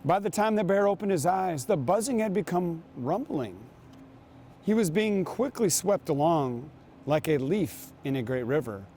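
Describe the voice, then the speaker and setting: Guy doing storytime for kids, takes his time, soft mellow voice, bit of background noise. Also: on the high side. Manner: unhurried storytelling with pauses. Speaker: guest reader, basketball coach. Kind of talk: reading a picture book aloud to children.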